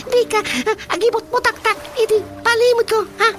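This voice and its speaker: A woman's voice: very high-pitched, flat delivery, normal speed. A woman with an Ilocano accent playing a mocking, teasing female tormentor who giggles constantly in a radio drama comedy. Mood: giggly teasing. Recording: music behind the voice.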